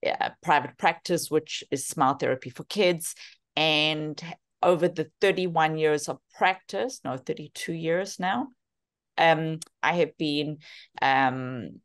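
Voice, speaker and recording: Low-pitched South African woman. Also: slow pace. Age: 50s. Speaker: physiotherapist and therapy program co-founder. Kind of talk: webinar talk, self-introduction.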